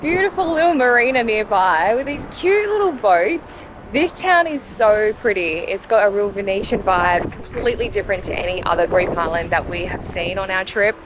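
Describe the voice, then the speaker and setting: A woman's voice: medium pitch, animated, normal speed. A young Australian woman chatting casually to a handheld camera outdoors as a travel vlogger. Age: young adult. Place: outdoors at a marina and town streets. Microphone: camera held at arm's length. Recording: outdoor background noise, traffic ambience.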